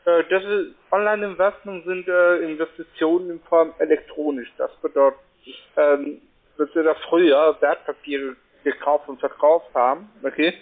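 A rather high-voiced man calls over a thin, tinny phone line to sell something. He is a non-native German speaker, pitching haltingly and checking that he is followed. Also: steady tone. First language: not German. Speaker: cold-calling telephone salesman.

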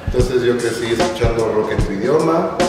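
A man's voice: medium pitch, moderate pace. A young man, a guitarist chatting in an interview and telling his story with playful repetition. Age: young adult. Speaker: band guitarist. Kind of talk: casual documentary interview. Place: indoor studio stage area, seated. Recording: echoey, distant, loud music behind. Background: loud music with drums.

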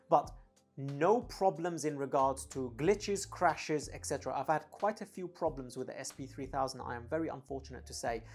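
A man in his thirties giving an enthusiastic, emphatic, casual product review to camera as an audio gear reviewer. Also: mid-range voice. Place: small home studio room, indoors. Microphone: lavalier clipped at the chest.

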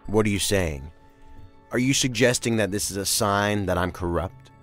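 A man acting a veteran crime agent in an audio drama. His voice is very low, his delivery dry, wry and guarded, over a faint music bed. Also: normal speed, a bit bright, lightly acted. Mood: defensive. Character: weary, wry male career organized-crime agent.

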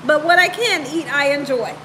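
A woman's voice: high voice, moderate pace, bright, forceful tone, slight background noise, low-quality recording. A woman around her thirties or forties chatting casually and playfully with live viewers as host, repeating phrases for emphasis. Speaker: cooking show host on a livestream. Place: home room, indoors.

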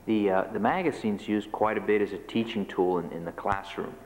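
A man in his thirties, from Cuba, answering questions as a panelist in a large lecture hall, speaking in a measured way and often repeating his first words. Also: on the low side, a little hiss or hum. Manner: measured, repeats words while starting phrases. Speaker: panelist, magazine publisher. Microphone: table microphone. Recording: long hall reverb, faint ambience.

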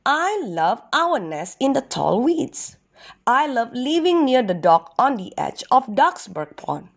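A young storybook duck boy played by a woman, high-pitched and swooping, with a plaintive, childlike way of talking. He sounds gentle and wistful. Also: big pitch swings, takes it slowly, heavily acted. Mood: sad, wistful.

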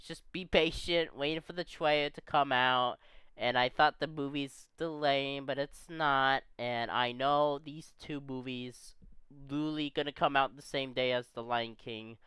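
A man chatting casually as a YouTube reactor, excitable and rambling with lots of restarts, his voice a bit off from being sick. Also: medium pitch, clear, resonant tone, no room sound. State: voice a bit off from sickness.

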